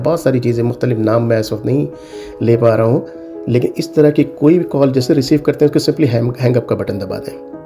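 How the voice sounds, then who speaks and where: A middle-aged man with a Pakistani accent speaks in a gravelly, creaky voice at a medium pitch. He talks straight to viewers in an informal advisory style, stressing and repeating warnings and switching into English phrases. Music plays clearly behind him. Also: mellow. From South Asia (Pakistan). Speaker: cyber security consultant and advice video host.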